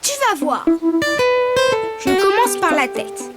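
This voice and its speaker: A woman voicing a cheerful young male cartoon bird who patiently guides children through drawing, over loud music. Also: extremely high pitch, big pitch swings, slow, exaggerated voice. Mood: upbeat. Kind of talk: preschool cartoon voice acting. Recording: loud background music track.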